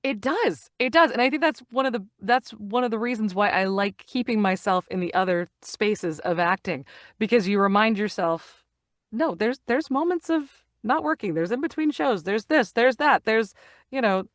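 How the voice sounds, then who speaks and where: A woman's voice: a little high, animated, moderate pace, dry recording, studio quality. A middle-aged woman talking casually as an interview guest, telling stories and acting out the lines she quotes. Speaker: guest, actor and audiobook narrator.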